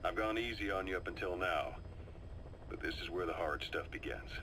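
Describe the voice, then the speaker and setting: A man playing a seasoned, calm and knowing military adviser in a video game, briefing his commander in a measured, confiding storytelling delivery. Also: low voice, a little hiss or hum, rough recording. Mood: matter-of-fact, confiding. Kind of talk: video game voice acting, dramatic briefing monologue. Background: music.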